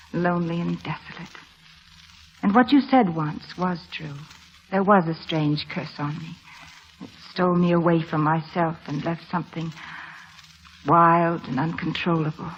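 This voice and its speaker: An actress playing a wistful, passionate young woman in a 1940s radio drama, dramatic and hushed at phrase ends. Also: fairly low pitch, fairly even intonation, unhurried, pauses often, breathy edge. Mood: earnest, tender. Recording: dull old radio broadcast, music behind.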